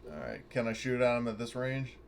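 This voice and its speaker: A man's voice: mid-range voice, lively intonation, brisk. A man playing a tabletop game, talking casually and bluntly as he works out his moves. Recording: clear background noise.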